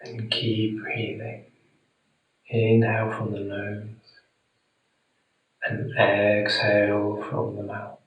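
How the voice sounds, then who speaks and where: A man guiding a meditation in a soothing, deliberate manner with long pauses, speaking gently to the listener. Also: lowish voice, monotone, highs cut off, slight background noise. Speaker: meditation guide. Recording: very echoey room, distant mic.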